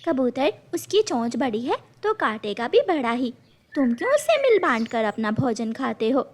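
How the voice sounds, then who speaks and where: A woman's voice: sits high, very animated, moderate pace. A woman voicing a fair-minded female bird in a cartoon, reasoning firmly with others.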